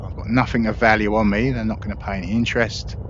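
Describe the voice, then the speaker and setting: Male gaming host, easygoing explainer, recording with clear background noise. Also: lowish voice, fast talker, muffled recording. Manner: relaxed, explanatory, self-deprecating. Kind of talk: gameplay commentary.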